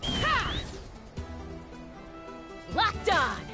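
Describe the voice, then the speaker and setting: High-pitched, bold airborne fighter. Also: female voice, wildly swooping pitch, very slow, gravelly, exaggerated voice. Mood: fierce, excited. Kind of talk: game combat shouts. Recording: loud game music behind.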